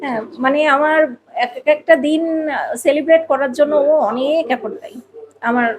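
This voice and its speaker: A woman's voice: sits high, a little fast, crowd noise, low-quality recording. A woman talking casually and emphatically as a podcast guest, repeating phrases to make her point. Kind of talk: casual personal conversation.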